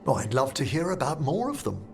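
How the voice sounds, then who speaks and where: A man's voice: mid-range voice, huge pitch swings, a little fast, gravelly, darkish tone, faint music behind. A man voicing a genteel, curious scholarly necromancer in a video game, speaking politely and eloquently. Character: courtly, curious scholarly male necromancer companion.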